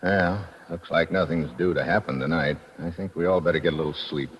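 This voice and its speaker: An actor playing a tough, wry hard-boiled American adventurer, terse and slangy, in a 1950s radio drama. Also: male voice, low-pitched, brisk, close to an everyday voice. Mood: gruff, guarded. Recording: muffled old radio recording, reverberant, noisy.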